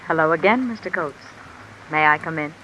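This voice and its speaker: A woman's voice: sits low, fairly quick, lo-fi sound. A woman playing a calculating, discontented wife with an insinuating, controlled manner in 1950s radio mystery style. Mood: coolly insinuating. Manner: insinuating, controlled, coolly commanding. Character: cool, calculating, discontented wife blackmailing a man. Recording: old radio recording with steady rain noise. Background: rain falling.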